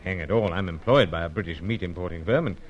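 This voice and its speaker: A man's voice: low voice, fast, highs cut off, lightly acted. A man playing a suave but easily flustered British secret agent in a pulp spy radio serial, speaking in polite, hesitant, old-fashioned phrases. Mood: flustered, apologetic. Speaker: lead actor and first-person narrator.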